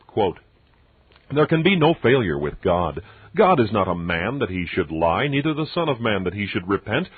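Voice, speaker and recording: Low male voice reading scripture stuff like an audiobook, solemn n preachy, tinny narrow sound, super dry. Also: husky, no room sound. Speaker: audiobook reader. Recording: dull muffled radio-like sound, very dry.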